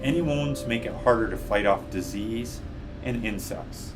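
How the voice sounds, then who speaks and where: A man narrating a children's audiobook in a measured, deliberate way, recorded at a slight distance from the mic. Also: medium pitch, over music. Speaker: guest storybook reader.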